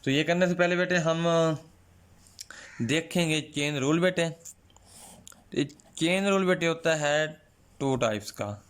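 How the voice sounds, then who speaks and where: A male math teacher, giving a patient online lecture with a sore throat, clearing it now and then. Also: on the high side, a bit bright. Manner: slow, patient, calls students "son" often.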